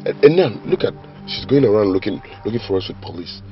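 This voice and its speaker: Scammer husband, stammery and emphatic, very animated, muffled audio. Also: male voice, on the low side, quick pace. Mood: exasperated, furious. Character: exasperated, scolding scammer husband. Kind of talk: film drama acting. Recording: muffled lo-fi audio with faint music.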